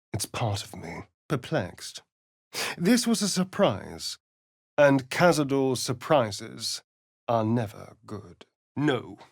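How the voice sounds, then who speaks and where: A man's voice: mid-range voice, a bit bright, slightly rough, exaggerated voice. An actor playing a centuries-old male vampire elf, arch and theatrical in video game lines. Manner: theatrical, arch, relishing words.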